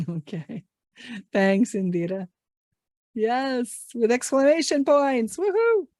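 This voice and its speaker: A woman's voice: on the high side, lively intonation, takes it slowly, darkish tone, no echo, clean studio sound. A woman trainer leading an online workshop, upbeat and encouraging, asking the group many questions. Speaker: English language trainer. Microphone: video call mic.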